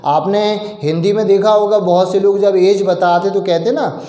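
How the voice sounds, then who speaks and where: A man from India teaching English in Hindi, explaining step by step, asking and answering his own questions, and drawing out example sentences slowly. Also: sits high, fairly even intonation, warm tone, roomy sound. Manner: slow, drawn-out example sentences.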